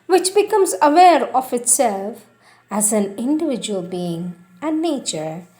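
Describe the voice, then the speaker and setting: A woman reading a spiritual text aloud in a solemn, deliberate, devotional way, with emphatic phrasing and frequent pauses. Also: a little high, big pitch swings, dark tone. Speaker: reader of spiritual texts.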